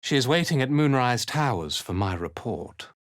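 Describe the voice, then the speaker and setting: An actor playing a haughty, ruthless cult commander in a video game, speaking in an imperious, deliberate, disdainful manner. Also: male voice, medium pitch, very animated, dry recording, studio quality, close to an everyday voice. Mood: cold contempt. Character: haughty, ruthless male cult commander, contemptuous slave driver. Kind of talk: video game character acting.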